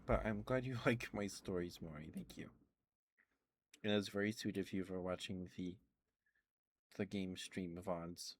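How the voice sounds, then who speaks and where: Soft-spoken low man, rambling ASMR chat. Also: steady tone, unhurried, pauses often, noisy background. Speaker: streamer.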